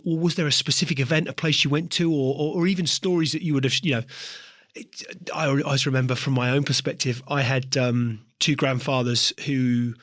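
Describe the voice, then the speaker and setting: A man's voice: mid-range voice, a bit bright, no room sound. Meandering male podcast host, dry sound. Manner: meandering questions with repeated words. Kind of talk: interview podcast.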